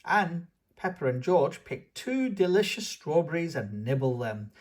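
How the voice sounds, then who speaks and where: A man's voice: fairly high pitch, lively intonation, a little slow. A man hosting a children's read-aloud, narrating a storybook in an enthusiastic manner. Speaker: children's book reader and channel host.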